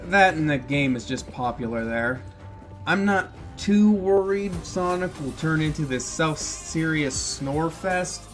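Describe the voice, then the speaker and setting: A man's voice: on the high side, lively intonation, a little slow, soft background music. A man giving casual, opinionated film commentary in a blunt, sardonic way. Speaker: video essayist reviewing films.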